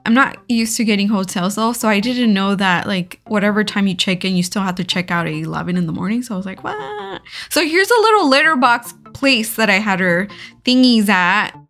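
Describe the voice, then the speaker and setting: A woman's voice: medium pitch, very animated, a little fast, a bit bright, soft background music. A woman narrating her road trip vlog in a casual, chatty way, giggling often and filling her talk with "like". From California. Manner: chatty, giggly, many "like" fillers. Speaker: vlogger.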